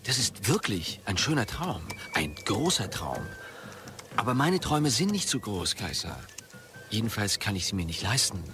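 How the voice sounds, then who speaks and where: Dreamy grandiose creator, audio drama, lively intonation, music behind. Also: male voice, fairly low pitch, moderate pace. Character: visionary, grandiose male creator at an internet start-up.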